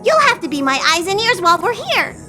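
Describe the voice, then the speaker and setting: Squeaky little childlike mascot creature. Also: female voice, big pitch swings, quick pace, sharp tone, faint music behind, full character voice. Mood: excited, wistful. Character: small cheerful fussy mascot creature, childlike conductor. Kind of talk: video game voice acting.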